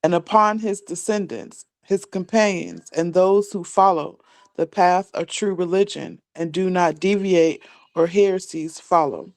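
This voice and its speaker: A woman's voice: lowish voice, unhurried, darkish tone, dry recording. A woman, a student in a class, reading a religious text aloud line by line.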